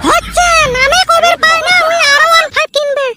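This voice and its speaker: A woman voicing a vain, sharp-tongued village girl in a comic cartoon, with a squeaky pitch-shifted voice and a Rangpuri accent. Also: steady tone, fairly quick, over loud music. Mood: dismissive. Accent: northern Bengal Rangpuri. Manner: mocking, dismissive.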